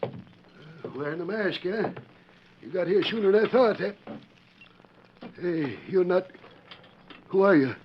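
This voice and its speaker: Shifty western prisoner, high voice, hesitant and evasive, muffled vintage radio drama. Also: male voice, measured pace, long pauses. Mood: wary. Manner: hesitant, stammering. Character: nervous, evasive jailed crook in a western. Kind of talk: 1940s radio acting. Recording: muffled, noisy 1940s radio recording.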